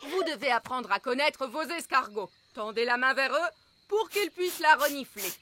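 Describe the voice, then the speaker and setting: An actress voicing a hearty, bossy, encouraging riding instructor in a French cartoon dub. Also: female voice, sits high, lively intonation, normal speed, noisy background. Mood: brisk, instructive. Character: brisk, encouraging female snail-riding instructor.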